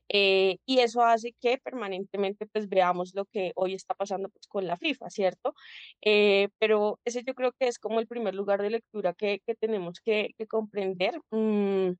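A woman's voice: medium pitch, fairly even intonation, moderate pace, no room sound, dull audio. Reflective woman, dry sound. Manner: reflective, many restarts and "¿cierto?" tags. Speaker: guest, public administrator and social activist. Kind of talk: interview answer, analytical.

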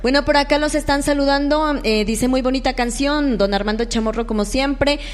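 Female Colombian local radio host, higher-pitched voice, somewhat halting delivery, long reverb on the recording. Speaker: radio host interviewing musicians. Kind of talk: live local radio interview, reading listener greetings. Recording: echoey hall-like reverb.

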